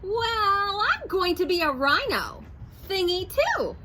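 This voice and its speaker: A woman's voice: sing-song, slow pace, very noisy, exaggerated voice. A woman doing a stubborn little hippo boy. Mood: eager. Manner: high, bright, eager.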